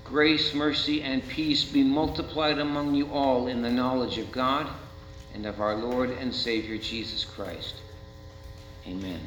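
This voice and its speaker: Male American pastor, sermon delivery, deliberate dramatic emphasis; echoey, slightly muffled recording with faint hum. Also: mid-range voice, steady tone, a bit bright. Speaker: Lutheran pastor preaching.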